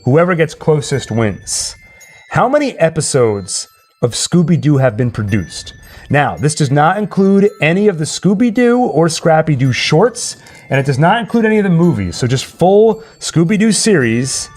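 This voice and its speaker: A man's voice: fairly high pitch, sing-song, moderate pace, a little mellow. A man hosting a casual livestream quiz, playful and thinking aloud as he goes. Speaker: host and trivia quizmaster.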